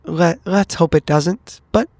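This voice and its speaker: A man plays a courteous federal agent in a tabletop game. The delivery is formal and measured with a dry wit, recorded close and without any room sound. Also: fairly high pitch, a little mellow, no room sound. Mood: wry, cautious. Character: polite, wry, formal male federal special agent.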